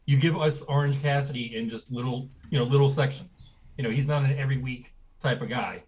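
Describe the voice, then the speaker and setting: A young man from Texas talks with a blunt, ranting edge. His voice sits at an ordinary middle pitch, and he speaks in easy, casual podcast banter full of strong opinions. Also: fairly even intonation, fairly quick, a little mellow, low-quality recording. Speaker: podcast co-host.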